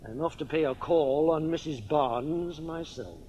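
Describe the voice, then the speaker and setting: Actor as sneering, high-voiced upper-class English squire. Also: male voice, a little slow, a little mellow, lo-fi sound, a little hiss or hum, lightly acted. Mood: sneering, insinuating. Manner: mocking, pompous, sarcastic. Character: dissolute, sneering village squire, drunk and lecherous. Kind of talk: British radio drama acting.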